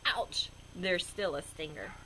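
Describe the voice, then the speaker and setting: A woman's voice: fairly low pitch, takes it slowly, pushed and bright, a little hiss or hum, voice pushed down, poor audio. A woman voicing a reluctant, fearful male best buddy who protests expressively in a children's storybook. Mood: grumbling.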